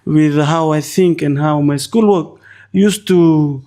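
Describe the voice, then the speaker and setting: Young fijian-accented man, warm, mellow tone, hesitant, pausing often, slightly high voice. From Fiji. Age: 20s. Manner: hesitant, many pauses and uhs. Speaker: guest. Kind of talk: personal story in a podcast interview.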